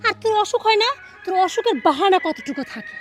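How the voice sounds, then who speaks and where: A woman playing a shrill, scolding daughter-in-law. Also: lively intonation, quick pace, music in the background. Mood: menacing. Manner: harsh, mocking, badgering with repeated taunts. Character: cruel hungry daughter-in-law, scolding and spiteful. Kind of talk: melodramatic domestic drama acting.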